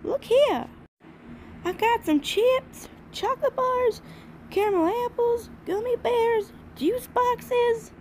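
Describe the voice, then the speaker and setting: A woman's voice: extremely high pitch, slow, a little mellow, slight background noise. A woman voicing an earnest, friendly little country filly in an amateur cartoon dub. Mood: hopeful.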